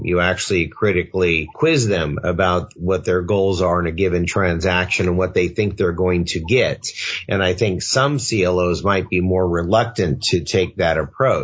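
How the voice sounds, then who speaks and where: A man, an outside lawyer, asking long, measured questions in an interview. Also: low voice, muffled recording.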